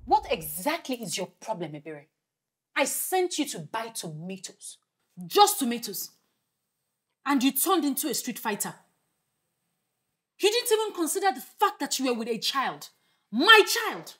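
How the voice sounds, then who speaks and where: A woman's voice: medium pitch, big pitch swings, measured pace, slightly rough. A woman playing a stern, indignant mother scolding her younger sister in a film drama.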